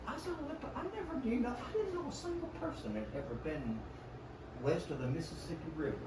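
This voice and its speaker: A man's voice: high-pitched, huge pitch swings, a little fast. Older man, Southern US accent, casual folksy storyteller, emphatic delivery, noisy and roomy pickup. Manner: folksy, emphatic, quotes past conversations. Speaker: guest telling hunting stories.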